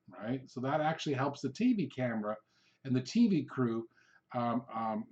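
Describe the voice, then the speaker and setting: A man's voice: medium pitch, darkish tone. A man whose first language is Hungarian hosts a solo podcast, giving loose, rambling coaching talk with frequent "um" and "all right?" checks. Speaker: podcast host, real estate coach. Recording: dull lo-fi recording.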